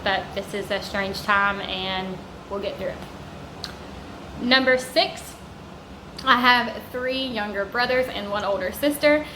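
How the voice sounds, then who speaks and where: A woman's voice: medium pitch, takes it slowly, pauses often, reverberant, noisy background. A woman in her thirties with a Southern US accent, chatting casually to camera in a rambling vlog. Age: 30s. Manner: chatty, rambling, warm asides to viewers. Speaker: vlogger. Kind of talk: casual sit-down chat to camera. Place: vacation home indoors.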